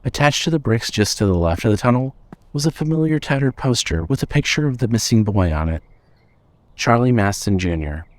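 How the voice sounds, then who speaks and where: A man's voice: medium pitch, animated, a little fast, no echo. A man reading scripted fiction as the narrator of an audio story.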